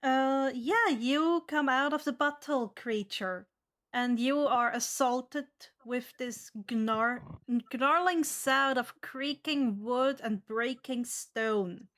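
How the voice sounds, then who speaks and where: A woman's voice: high voice, takes it slowly, fairly bright tone. A woman narrating a tabletop fantasy game as the game master.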